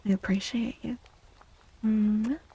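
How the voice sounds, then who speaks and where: A soft-spoken woman livestreaming an ASMR tarot reading, gentle and soothing, with a breathy voice that often slips into a whisper. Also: a little high, steady tone, low-quality recording. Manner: gentle, soothing, unhurried reassurance.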